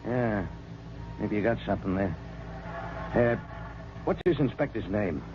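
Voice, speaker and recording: An actor playing a menacing, impatient crook who speaks in curt, threatening commands in an old radio drama. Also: male voice, fairly low pitch, expressive, unhurried, noisy background. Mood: gruff. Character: menacing, impatient male crook bullying his accomplice. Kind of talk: 1940s radio drama acting. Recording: muffled old radio recording with music.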